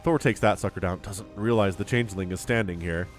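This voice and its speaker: A man giving casual, chatty live commentary on a video game as a shoutcaster, a bit sniffly with a cold. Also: deep voice, fairly quick. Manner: chatty, informal, slangy asides. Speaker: esports shoutcaster. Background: music.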